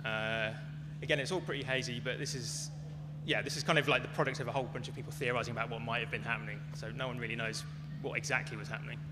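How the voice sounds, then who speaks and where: A man's voice: mid-range voice, fairly even intonation, fast, loud music behind the voice. A man giving an informal, hedged explanation as a speaker answering audience questions at a live talk. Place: large echoey venue at a live talk. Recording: echoey hall, clear background noise, hum.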